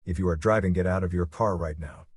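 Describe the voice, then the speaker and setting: Low-voiced man reading a formal emergency alert. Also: fast, darkish tone, breathy edge, no room sound. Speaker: emergency broadcast announcer. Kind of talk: scripted emergency alert announcement.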